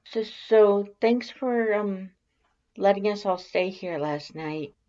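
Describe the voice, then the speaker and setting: A narrator voicing a timid, awkward female monster scientist with a nervous, halting stammer in a story reading. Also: mid-range voice, warm tone, dull audio, pitched above the performer's own voice, lightly acted. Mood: nervous, hesitant.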